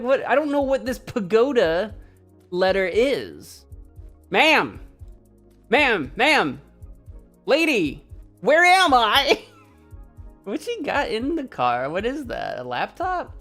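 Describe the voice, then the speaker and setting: A woman streaming a game, chatting casually, cracking jokes, laughing often and thinking out loud. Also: mid-range voice. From United States. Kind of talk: casual live-stream commentary.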